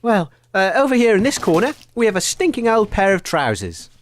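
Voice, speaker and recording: A man's voice: high-pitched, huge pitch swings, brisk, slight background noise. Jumpy man hosting a comedy radio show. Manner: hesitant, filler-heavy, easily startled.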